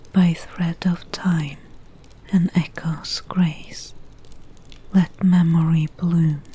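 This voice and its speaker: A woman playing a gentle alchemist in an ASMR fantasy roleplay, reciting in a slow, breathy, hushed whisper like an incantation. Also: lowish voice, exaggerated voice, poor audio. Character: gentle female alchemist brewing potions and reciting spells. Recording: close whisper with clear background noise, ambience.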